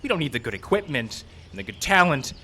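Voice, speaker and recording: A man's voice: fairly high pitch, sing-song, fast talker, sharp tone. A man playing a hapless, self-doubting filmmaker in a live audio drama, rambling in a jittery, self-mocking way. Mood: shaken. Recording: outdoor live stage, faint background noise.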